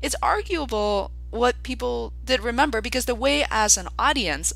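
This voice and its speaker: A woman hosting a podcast, asking lively follow-up questions and talking at length between them. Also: on the high side, moderate pace, gravelly, no room sound. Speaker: podcast host, interviewer. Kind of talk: casual interview chat.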